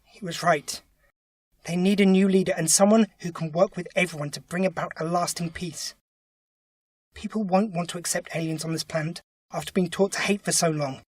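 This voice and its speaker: A man's voice: high voice, fairly even intonation, moderate pace, darkish tone, husky, no echo, studio quality. A man playing a kindly, earnest alien time traveller in an audio drama. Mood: earnest, urging. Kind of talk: fan audio drama acting.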